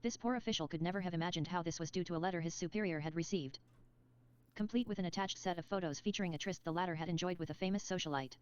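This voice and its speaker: A woman reading scripted story narration in a measured, articulate storybook manner. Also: on the low side, a little mellow, clear, resonant tone, muffled recording, lightly acted. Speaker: narrator of character lore.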